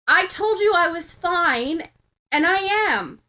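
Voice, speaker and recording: A woman's voice: very high-pitched, animated, slow pace, bright, forceful tone, voice pushed up, heavily acted. A woman voicing an earnest, flustered but plucky teenage girl in a story, hesitant and placating. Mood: defiant, questioning.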